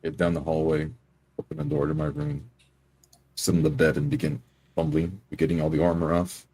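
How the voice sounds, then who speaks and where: A man narrates his character tersely in a tabletop roleplaying game. His voice is extremely deep, with a rough, crackling rasp. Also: normal speed, dark tone.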